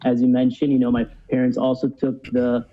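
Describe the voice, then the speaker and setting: A man's voice: medium pitch, completely flat delivery, fairly quick. Earnest man on dull lo-fi audio. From born in Cuba, moved to the USA as a child. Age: 30s. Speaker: podcast guest. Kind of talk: casual conversational interview.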